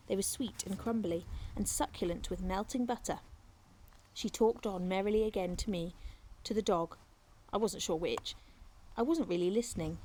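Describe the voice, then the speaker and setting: Lady teacher reading a kids novel out loud, expressive storyteller, medium pitch, bit of noise and clicks on the recording. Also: low-quality recording. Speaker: teacher reading aloud to pupils. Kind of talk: narrated children's novel reading.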